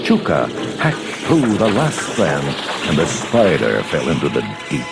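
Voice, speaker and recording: A man's voice: very deep voice, huge pitch swings, a little slow, gravelly. A man reading a scripted children's adventure story as a dramatic narrator, over music.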